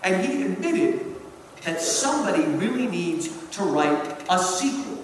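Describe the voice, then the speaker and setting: High-pitched animated man lecturing, very echoey hall. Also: a little slow. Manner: emphatic, theatrical storytelling. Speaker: lecturer and college teacher. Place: large indoor hall at a public event. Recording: very echoey hall from far off, faint noise.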